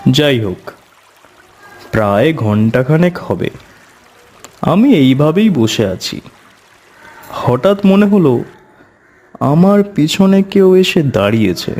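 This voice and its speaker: A middle-aged man playing an avuncular tantric storyteller, narrating his eerie past in a measured, suspenseful way. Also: a little high, very animated, darkish tone, heavily acted. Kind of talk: dramatic horror story narration.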